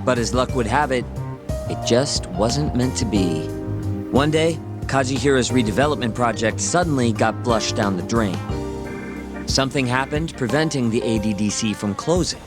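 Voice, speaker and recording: Casting: male actor as a wry, knowing game informant, mid-pitched, conversational and slangy, music underneath. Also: normal speed, natural voice. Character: glib, wry male informant explaining shady political dealings. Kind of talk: English game dub dialogue, conversational exposition. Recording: music behind the voice.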